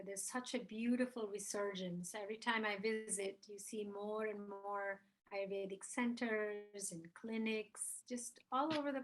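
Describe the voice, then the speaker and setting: A woman's voice: mid-range voice, low-quality recording. A woman in her fifties from India, a spiritual mentor, talking as an interview guest in a reflective, earnest way. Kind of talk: interview conversation.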